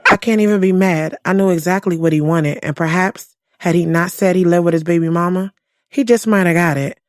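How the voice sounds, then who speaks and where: A woman's voice: lowish voice, a little fast, natural voice. A woman playing a frank, sassy young single woman in an audio drama, conversational, slangy and wry. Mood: amused, sly. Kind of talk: first-person audio drama narration and dialogue.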